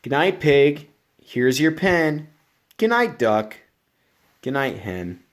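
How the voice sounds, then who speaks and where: A man's voice: medium pitch, very animated, slow pace, pitched above the performer's own voice. A man doing Little Blue, the kindly truck. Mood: kind, soothing. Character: friendly little blue pickup truck, kind and welcoming.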